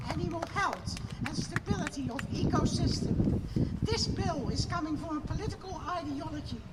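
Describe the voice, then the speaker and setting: A woman with a Dutch accent giving an impassioned, declamatory protest speech outdoors at a rally, building to loud emphatic peaks. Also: high voice. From Netherlands. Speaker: protest speaker. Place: outdoor rally on parliament steps. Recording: distant mic, loud outdoor noise.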